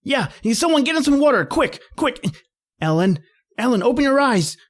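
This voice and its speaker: A woman playing a brash, excitable young man at a party in old-time radio horror style. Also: high voice, moderate pace. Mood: urgent, alarmed.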